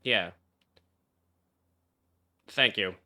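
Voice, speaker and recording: A man's voice: fairly low pitch, very slow, pushed and bright. A young man streaming a game, reacting casually with slangy, profane trash talk.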